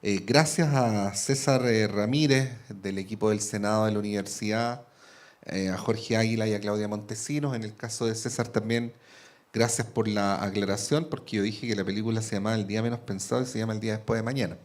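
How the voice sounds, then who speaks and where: Male radio moderator, lower voice, easygoing, casual. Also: fairly even intonation, fairly quick. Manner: conversational, lightly joking.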